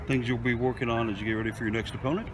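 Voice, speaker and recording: Fast-talking male interviewer, quick questions from the field, noisy outdoor sound. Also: medium pitch, steady tone. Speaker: team media reporter.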